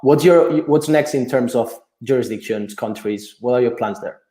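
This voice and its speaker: Man, guest host, mellow warm voice, rambling questions, echoey room. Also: medium pitch, moderate pace. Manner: rambling questions with repeated words. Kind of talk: webinar interview questions.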